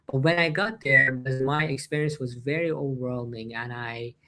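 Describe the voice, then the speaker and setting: A man's voice: medium pitch, fairly even intonation, moderate pace, background noise. Earnest man. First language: Persian. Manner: earnest, with frequent "uh" and non-native grammar slips. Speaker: guest. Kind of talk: reflective personal storytelling. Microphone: video call.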